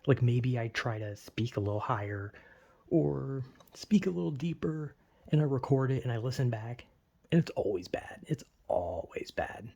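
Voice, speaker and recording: Gravelly, mellow-voiced young man rambling. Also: mid-range voice, expressive, moderate pace. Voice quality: slight lisp. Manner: self-deprecating, filler-heavy with lots of "like". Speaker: vlogger. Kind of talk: casual rambling monologue.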